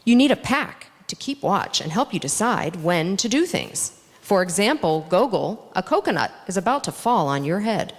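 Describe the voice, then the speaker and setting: Gruff, bossy storybook dog played by a woman. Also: mid-range voice, very animated, moderate pace, slight background noise. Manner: growling, barking, blunt. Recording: echoey hall with long reverb.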